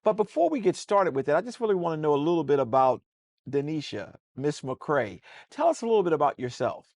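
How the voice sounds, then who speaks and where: A man's voice: fairly high pitch, big pitch swings, fairly quick, darkish tone, no room sound, clean studio sound. A man with an American accent, a pastor hosting a casual church talk show, folksy and preacherly, often repeating words as he starts a sentence.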